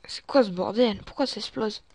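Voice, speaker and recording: A woman's voice: mid-range voice, moderate pace, darkish tone, slight background noise. A woman doing in-character gameplay commentary, muttering to herself, often dropping into whispers and breaking into exclamations. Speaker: gamer playing a role-play survival series.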